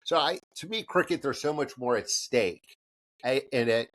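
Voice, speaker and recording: A man's voice: mid-range voice, expressive, normal speed, a bit bright. Chatty middle-aged American man, laughing easily. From United States. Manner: rambling, self-deprecating, laughs often. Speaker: podcast co-host. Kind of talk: casual podcast chat.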